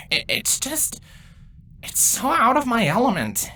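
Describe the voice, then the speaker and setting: Woman voicing a high, bright, timid little-brother creature. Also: sing-song, moderate pace, in a large hall, heavily acted. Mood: anxious, apologetic. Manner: hesitant, stammering, earnest. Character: timid, gentle, sensitive younger-brother changeling, male insect creature. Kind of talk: audio drama character acting.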